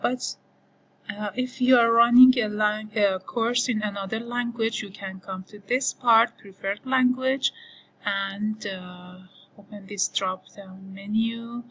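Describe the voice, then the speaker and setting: A woman whose first language is Farsi, slowly walking through a software screen as an online course instructor, thinking aloud with many pauses and fillers. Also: mid-range voice, steady tone, lo-fi sound. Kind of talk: screen-recorded tutorial walkthrough.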